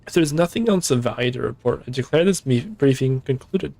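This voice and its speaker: A man with a tired, hoarse voice voicing a stern male ship captain, giving brisk, formal orders. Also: mid-range voice, lively intonation, a little mellow, no room sound.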